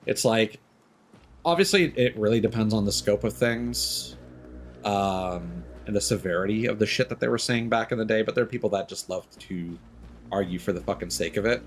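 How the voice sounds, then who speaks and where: A young male streamer with a fairly low voice rambles frankly over his gameplay, swearing now and then as thoughts spill out. Game sounds run clearly underneath him. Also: moderate pace, a little hiss or hum. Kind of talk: casual gameplay commentary.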